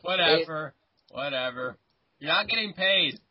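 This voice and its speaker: A nineteen-year-old man hosts a loose chat with friends, his fairly high voice husky and broken by stammered restarts. The sound is muffled and lo-fi. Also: expressive, a little slow. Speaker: podcast host. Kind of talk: casual chat among friends.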